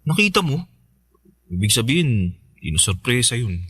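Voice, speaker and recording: A man's voice: medium pitch, very animated, moderate pace, fairly bright tone, slightly rough, dry recording, clean studio sound. A man playing a devoted, playful young Filipino boyfriend in a radio drama, sweet and joking in a naturalistic way. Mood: let down, understanding. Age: young adult. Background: music.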